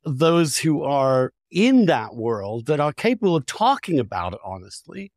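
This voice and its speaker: A man with a somewhat high-pitched voice speaks in a close, dry recording. He is articulate and opinionated, pressing his points in candid conversation and often doubling back to restart a phrase. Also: very animated, measured pace, darkish tone, no echo. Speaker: podcast host.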